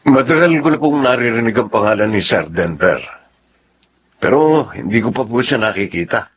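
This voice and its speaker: A man's voice: on the low side, lively intonation, normal speed, natural voice. A man playing an arrogant but easily rattled supervisor, a servile underling to his boss, in a radio drama. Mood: curious. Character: arrogant supervisor turned panicky, begging underling. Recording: muffled audio with faint noise.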